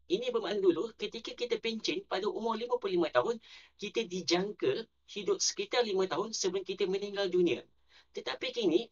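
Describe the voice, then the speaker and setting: A man with a Malaysian Malay accent, a personal finance YouTuber, giving a scripted explainer. He reads figures and statistics in a steady, deliberate way. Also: high-pitched, muffled recording.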